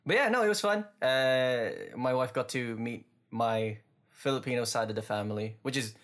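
This is a man co-hosting a podcast and settling into a relaxed chat. His voice sits at a middling pitch for a man, and he rambles through his stories with plenty of fillers and laughter. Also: normal speed. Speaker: podcast co-host. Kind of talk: casual chat.

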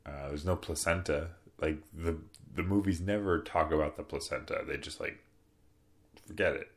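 A young man with an extremely low, deep voice tells a personal story in an unhurried, rambling way. He speaks softly and plainly, with plenty of fillers and restarts, like a podcast host talking to friends. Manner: relaxed, rambling, soft-spoken with fillers.

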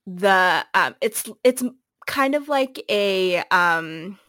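A woman's voice: mid-range voice, expressive, extremely slow, sharp tone, smooth, no room sound. A woman co-hosting a podcast, chatting casually about a book she recommends, with many ums and likes and frequent laughs. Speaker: podcast co-host.

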